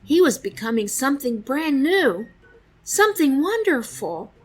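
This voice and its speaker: A woman reading a children's storybook aloud in an expressive, deliberate storytelling style, close on a studio microphone. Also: high voice, poor audio. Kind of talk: expressive read-aloud narration. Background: faint music.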